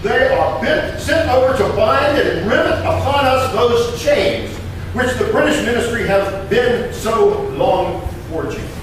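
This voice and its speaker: Man acting as high-voiced patriot orator, echoing distantly. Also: animated. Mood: indignant, challenging. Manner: declamatory, emphatic, deliberate pauses. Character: impassioned 18th-century American patriot statesman orating. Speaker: living historian performer. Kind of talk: dramatic historical oratory reading. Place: historic courthouse room. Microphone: distant microphone. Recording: very echoey room, distant mic, noisy.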